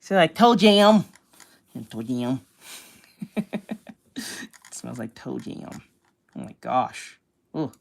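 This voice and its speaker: A streamer in their thirties with a young-sounding voice, at a middle pitch. They chat loosely with viewers in a slangy, off-the-cuff way while drawing. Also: very animated, takes it slowly, gravelly, pauses often. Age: 30s. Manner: loose, chatty, slangy asides. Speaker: art streamer. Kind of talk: casual live-stream chat.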